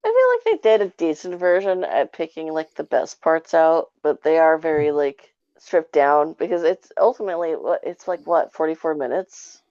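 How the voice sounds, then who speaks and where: A woman's voice: sits low, normal speed, few pauses, warm tone, clear, resonant tone, no room sound, muffled recording. A woman giving her take in a casual, rambling review chat with an informal way of talking. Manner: rambling, informal, frequent 'like'. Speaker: podcast guest reviewer.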